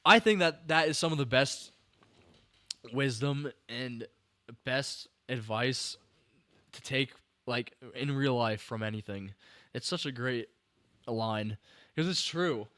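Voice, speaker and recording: Young male streamer, mid pitch, smooth clear voice, dry close mic, a bit tired, rambly. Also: slow, long pauses, bright, forceful tone, dry recording. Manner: rambling, restarts sentences, talks to chat viewers. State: slightly tired. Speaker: podcast host livestreaming a film breakdown. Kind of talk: casual enthusiastic film commentary to chat.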